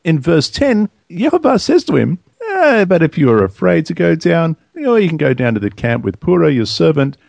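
A man's voice: on the high side, very animated, moderate pace, gravelly, few pauses, darkish tone, no echo. A man reading Bible verses aloud and commenting on them in a casual study discussion. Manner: reads verses aloud, then comments conversationally.

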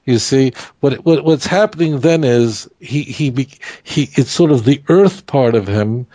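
A man whose first language is Hebrew, explaining scripture in an animated conversational study, often restarting his sentences. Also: mid-range voice, unhurried, no echo. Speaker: Torah teacher.

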